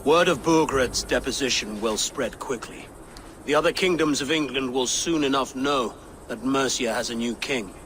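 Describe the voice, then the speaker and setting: A man's voice: medium pitch, moderate pace, fairly bright tone, slight background noise, close to an everyday voice. A middle-aged actor playing a solemn new king and father speaking formally. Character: newly crowned king, grave father.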